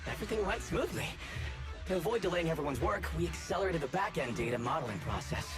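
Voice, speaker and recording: A man's voice: a little high, animated, fast, over music. A man voicing a courteous, anxious young male technician in a video game, with a deferential, formal manner.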